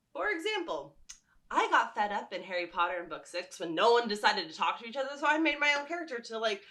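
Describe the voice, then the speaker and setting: Female vlogger, bright and crisp-edged tone, chatty and keen, wandering through personal stories in an informal way. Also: medium pitch. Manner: enthusiastic, rambling storytelling. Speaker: vlogger and fan fiction writer. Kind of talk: casual chatty talk to viewers.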